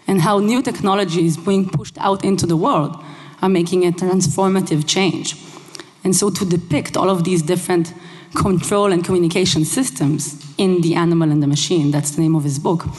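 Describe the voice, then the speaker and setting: A young woman whose first language is Hebrew, giving a lively conference talk on a headset mic in a large hall, engaging the audience with questions. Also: lowish voice. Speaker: conference speaker. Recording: long hall reverb.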